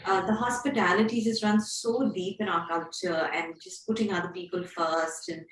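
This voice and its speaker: A woman's voice: lowish voice, fairly even intonation, normal speed. Woman on a distant, roomy mic. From Pakistan. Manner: heartfelt, chatty, laughs at herself. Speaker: panel guest. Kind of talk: casual panel chat.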